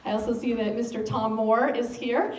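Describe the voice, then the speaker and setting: A woman's voice: a little high, fairly even intonation, normal speed. An American woman, a school superintendent, giving a public update to a civic gathering with many ums. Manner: frequent ums, speaks of having a loud voice. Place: meeting room. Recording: echoey room, distant mic, faint noise.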